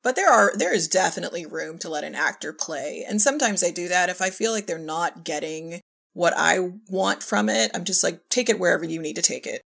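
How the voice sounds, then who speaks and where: Lowish woman on a podcast, lots of vocal fry, explains stuff casually but makes her point. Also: lively intonation, brisk, fairly bright tone. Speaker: podcast guest, director and former filmmaking teacher. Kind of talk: casual discussion.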